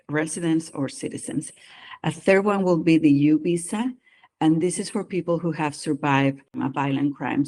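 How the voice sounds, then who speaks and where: Psychologist guest on a podcast, mixed accent, earnest and explains things clearly, mid pitch. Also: darkish tone. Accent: mixed non-native English accent. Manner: earnest, explanatory, speaks openly about personal experience. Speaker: psychologist guest who does immigration evaluations. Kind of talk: interview conversation.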